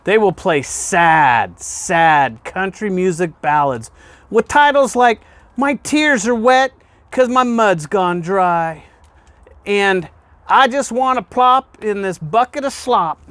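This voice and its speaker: A man reading a children's picture book aloud in an expressive storytelling way, with emphatic pauses and questions put to the listener. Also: sits high, no echo. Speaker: guest reader, fire department deputy chief.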